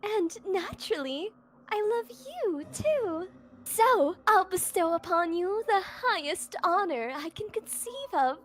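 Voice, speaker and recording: A woman voicing a sweetly possessive, doting visual novel character who makes formal, deliberate declarations of love. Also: very high-pitched, lively intonation, a bit bright, heavily acted. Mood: tender obsession.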